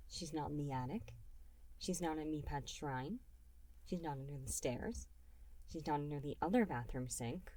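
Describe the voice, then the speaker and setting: Mellow, low-voiced woman narrating a story. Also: poor audio. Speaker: reader and writer of the story. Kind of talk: narrating fan fiction audiobook.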